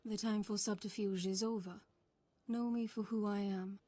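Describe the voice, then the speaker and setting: An actress playing a grave, conspiratorial Sith cult master in a video game, speaking in a measured, formal, breathy manner. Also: female voice, mid-range voice, steady tone, warm tone, no echo, lightly acted. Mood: grave, secretive. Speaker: game character, secret cult leader. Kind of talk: dramatic video game voice acting.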